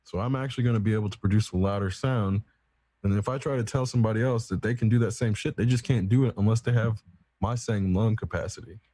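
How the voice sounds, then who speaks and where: A man's voice: on the low side, steady tone, fast, mellow. A man in casual chat, explaining at length in a rambling, profane, self-assured way. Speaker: podcast co-host.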